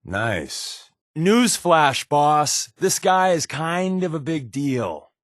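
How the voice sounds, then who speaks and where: An actor playing a wry, secretive spy in a video game, with a glib, offhand, conversational delivery. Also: male voice, a little high, very animated, drawn-out words, dry recording, clean studio sound, heavily acted. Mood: sarcastic. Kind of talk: video game companion dialogue.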